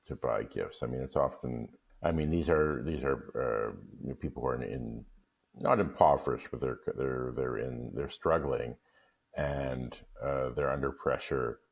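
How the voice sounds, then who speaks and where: Very deep gravelly male guest. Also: lo-fi sound. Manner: halting, many restarts. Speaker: guest reader. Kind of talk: radio discussion.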